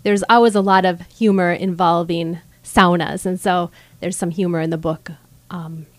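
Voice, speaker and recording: A woman's voice: fairly low pitch, fairly even intonation, moderate pace, no room sound. A woman in her thirties from Michigan's Upper Peninsula, a guest author speaking earnestly with light humor on a studio microphone. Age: 30s. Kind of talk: radio interview. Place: radio studio.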